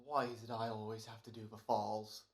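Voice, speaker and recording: An actor playing a tired, wisecracking but soft-hearted radio actor in an old-time American radio comedy. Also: mid-range voice, little pitch movement, brisk, lightly acted. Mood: weary, grumbling. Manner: weary, sardonic, slangy.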